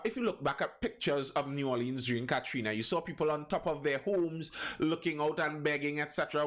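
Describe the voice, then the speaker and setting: A man's voice: fairly high pitch, fairly bright tone. Chatty Trinidadian man hosting a live stream. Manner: chatty, digressive, restarts sentences. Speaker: live show host. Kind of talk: live-stream commentary and comment reading.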